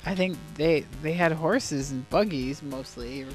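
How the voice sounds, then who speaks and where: A woman's voice: deep voice, measured pace, darkish tone, faint music behind. A woman hosting a casual talk show as a substitute host, chatty and laughing often. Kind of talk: casual chat. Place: attic studio in Buffalo. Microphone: handheld mic on a stand.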